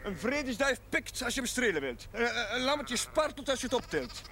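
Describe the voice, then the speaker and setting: An actor playing an earnest, tender-hearted young village chaplain in a television drama, hesitant when moved. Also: male voice, extremely high pitch, very animated, a little fast, a little hiss or hum, exaggerated voice. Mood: indignant. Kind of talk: 1970s Dutch television drama acting. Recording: 1970s TV soundtrack, loud music behind.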